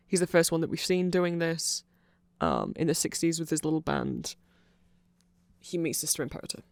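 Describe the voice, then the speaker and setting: A nerdy vampire shopkeeper, acted out for an ASMR roleplay, chatting casually to a friend. The voice has plenty of fry and sits in a very dry recording. The delivery rambles, hesitant yet excitable, with an apologetic streak. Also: medium pitch, dry recording.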